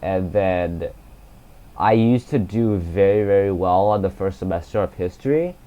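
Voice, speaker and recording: A very deep-voiced teenage boy, Cantonese his first language, rambles through a casual vlog with a glum, halting delivery full of "like" and "uh". The home recording sounds a little dull and muffled. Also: dark tone. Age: teens. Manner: halting, many fillers and restarts, glum. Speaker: gaming YouTuber.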